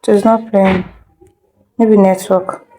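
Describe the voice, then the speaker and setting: A woman giving a casual online editing tutorial, thinking aloud and muttering haltingly as she works. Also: mid-range voice, level tone, dark tone. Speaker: instructor of an online video editing class.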